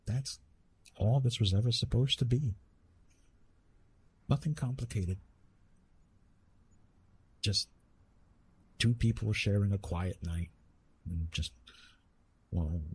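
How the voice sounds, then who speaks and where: A man playing a retired immortal Greek god of love in an intimate ASMR roleplay, speaking to the listener in a soothing, gently teasing, conversational way. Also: deep voice, steady tone, slow pace, pauses often, husky, heavily acted. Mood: tender, playful.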